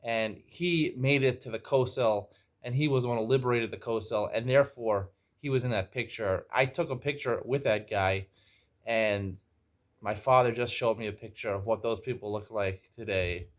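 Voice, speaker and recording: A man's voice: lowish voice, normal speed. A man in his forties hosting a casual show, chatty and teasing as he tells stories and jokes with a guest. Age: 40s. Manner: jokey, teasing, chatty storyteller. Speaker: host. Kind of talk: casual chat and storytelling. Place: Israel.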